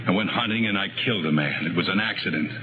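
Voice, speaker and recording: An actor playing a desperate, bitter murderer who narrates his crime in brooding, deliberate 1950s radio thriller style. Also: sits low, noisy background, close to an everyday voice. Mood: bitter, accusing. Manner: brooding, dramatic, deliberate phrasing. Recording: muffled old radio recording with music behind.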